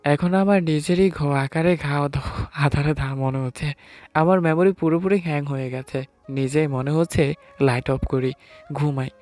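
A man's voice: on the high side, steady tone, moderate pace, darkish tone, dry recording, close to an everyday voice. A man playing a nervous, hesitant newlywed husband who narrates his own story in an audio romance. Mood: sheepish narrating. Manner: hesitant, sheepish. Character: timid, nervous newlywed husband, late twenties. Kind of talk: first-person story narration with acted dialogue. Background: music.

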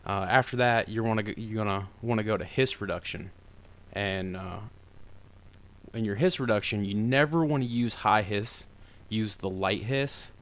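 A low-voiced man with a smooth, clean tone walks through a software tutorial. He talks informally and rambles a bit, using lots of fillers. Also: normal speed. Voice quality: deeper voice. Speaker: tutorial maker. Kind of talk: casual screen-recorded software tutorial.

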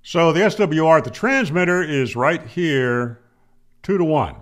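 Older man, slightly high-pitched voice, slow pace, lively intonation, emphatic plainspoken instructor. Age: older, 60s or 70s. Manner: emphatic, plainspoken, poses and answers his own questions. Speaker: amateur radio instructor, host. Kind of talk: explanatory tutorial to camera. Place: home room, indoors. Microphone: studio microphone on boom arm, close.

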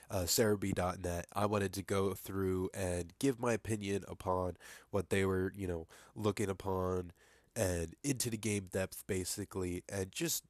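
A young man with a low voice and a stuffy, congested nose chats casually at his desk, rambling through fillers and restarts. The sound is dry and close, with no room echo. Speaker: vlogger. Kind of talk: casual vlog commentary over screen capture.

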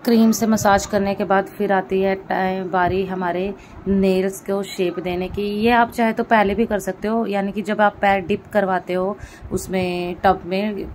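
A woman's voice: medium pitch, steady tone, unhurried, darkish tone, slight background noise. A woman giving a casual step-by-step vlog voice-over, explaining and rephrasing her points as she goes. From India. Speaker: vlogger.

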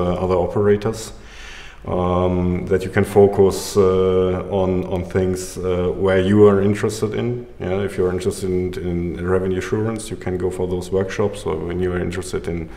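A middle-aged man from Germany gives a halting interview answer. His voice is very low, creaky and mellow, recorded in an echoey room. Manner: hesitant, searching for words. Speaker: telecom engineer being interviewed. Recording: echoey hall, music behind.

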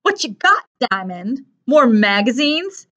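A woman's voice: on the high side, very animated, moderate pace, fairly bright tone, husky, lo-fi sound, heavily acted. A woman voicing a smug teenage boy bully in an audiobook, with a sneering, mocking manner. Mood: taunting.

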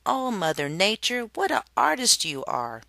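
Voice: medium pitch, huge pitch swings, a little slow, vocal fry, pushed and bright, no room sound, voice pushed up, full character voice. A storybook reader voicing a naive young maiden who gushes with delight over nature. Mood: gushing delight. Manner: gushing, breathlessly delighted.

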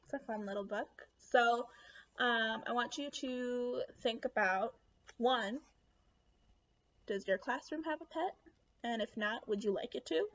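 A young woman reading a picture book aloud to children in an animated, expressive way. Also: fairly high pitch, a little slow, lo-fi sound. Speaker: children's read-aloud host. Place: small room at home.

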